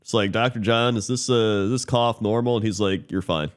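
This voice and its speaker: A congested man with a somewhat low voice rambles through a story, full of "like" and "uh". His sound is a bit Muppet-like and stuffed up from a lingering cold, and he keeps it loose and chatty as a podcast co-host. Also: fairly even intonation, brisk. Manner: rambling storytelling with many fillers. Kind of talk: casual chat.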